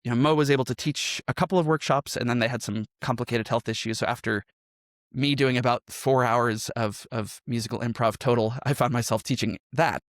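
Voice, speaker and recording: Man, medium pitch, dry recording, conversational interview, reflective explainer, podcast guest. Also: fairly even intonation, a little fast, no room sound. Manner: reflective, explains with frequent "right?" check-ins. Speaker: podcast guest, improv teacher and game master.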